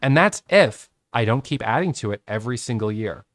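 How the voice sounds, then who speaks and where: A man in his late thirties giving a casual whiteboard lesson on trading from his home office, talking with his hands into a boom-arm studio mic. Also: a little fast, dry recording. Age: 30s. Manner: animated, talks with his hands, drawing on a whiteboard. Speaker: trading educator. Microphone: studio microphone on a boom arm.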